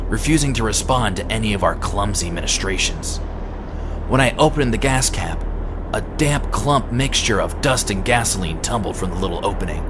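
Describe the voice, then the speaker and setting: A male amateur narrator reads scripted first-person horror fiction. His voice sits fairly low and his delivery is deliberate and dramatic. Clear ambient noise runs behind the reading.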